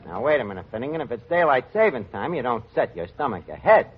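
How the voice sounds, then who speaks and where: A man's voice: medium pitch, big pitch swings, quick pace, breathy edge, some chatter behind. An actor playing a wisecracking tavern manager, sarcastically mangling his grammar in a 1940s radio comedy. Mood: pompously explaining. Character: fast-talking, malapropism-prone wisecracking male tavern manager. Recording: dull vintage radio recording.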